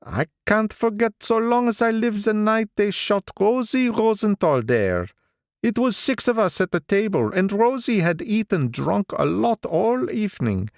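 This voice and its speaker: A man voicing an older male gangster who is gloomy, sentimental and boastful, with a slow, heavy, brooding delivery. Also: high-pitched, sing-song, gravelly, no echo, clean studio sound, voice pushed up, full character voice. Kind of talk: audiobook character voice.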